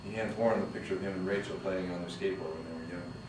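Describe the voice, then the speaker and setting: A man's voice: low voice, rapid-fire. A man reading the stage directions aloud at a screenplay table read. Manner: steady, even reading of action lines. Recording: echoey room from far off with noise.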